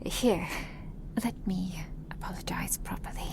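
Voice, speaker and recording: A woman voicing an affectionate, protective ancient vampire woman in a soft, intimate ASMR roleplay, with genteel old-fashioned phrasing. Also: on the low side, measured pace, vocal fry. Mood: tender, apologetic.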